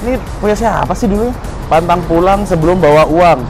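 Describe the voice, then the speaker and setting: A man's voice: high-pitched, fairly quick, low-quality recording. A young man chatting casually and jokingly while he washes and inspects an old scooter in an open garage. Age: young adult. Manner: relaxed, joking, slangy, talks while working. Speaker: vlogger. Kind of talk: casual vlog chat. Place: open garage courtyard, outdoors. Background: music.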